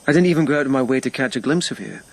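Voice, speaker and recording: An actor playing a thoughtful, reserved police lieutenant in ancient Japan, speaking in reflective, halting phrases in a radio drama. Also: male voice, mid-range voice, natural voice. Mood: guarded, hurt. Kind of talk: naturalistic radio drama acting.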